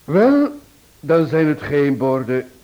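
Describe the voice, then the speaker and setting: A man's voice: fairly high pitch, sing-song, slow pace, dull audio. A man playing a suave, teasing amateur sleuth and husband in a radio drama, polished and playfully condescending. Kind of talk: Dutch-language radio drama acting.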